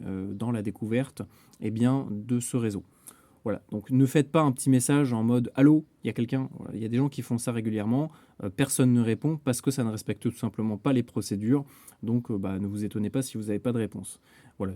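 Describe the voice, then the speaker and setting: Dark-voiced man giving a casual tutorial. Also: mid-range voice, no room sound. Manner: hesitant, step-by-step explaining. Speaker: radio network administrator and tutorial presenter. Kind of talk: casual spoken software tutorial.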